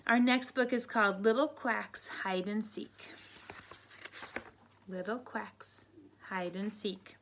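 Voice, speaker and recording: A woman reading picture books aloud to children in a teacherly way, pausing to prompt listeners with questions. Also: mid-range voice, background noise. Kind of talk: read-aloud storybook narration with counting asides.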